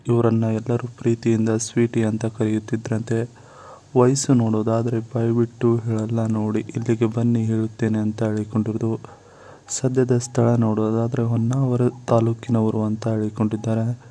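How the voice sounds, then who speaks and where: A man's voice: lowish voice, warm tone. A man narrating a scripted profile voice-over in a formulaic, repetitive announcer-like way. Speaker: channel host narrator.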